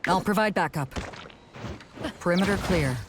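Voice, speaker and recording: An actress voicing a confident, cool and brisk young female combat teammate in an anime video game. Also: fairly low pitch, heavy background noise, natural voice. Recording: loud combat effects over voice.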